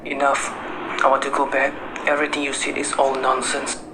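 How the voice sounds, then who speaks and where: A man's voice: mid-range voice, monotone, normal speed, bright, forceful tone, lightly acted. A man playing a short-tempered, lazy, self-righteous husband in an amateur radio drama, irritated and domineering. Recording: noisy amateur recording.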